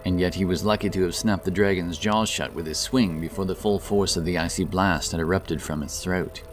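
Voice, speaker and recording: A man with a low voice narrates a scripted fantasy tale. His delivery is intense and theatrical, in the manner of a dramatic audiobook. Music runs clearly beneath his narration. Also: steady tone, fairly quick.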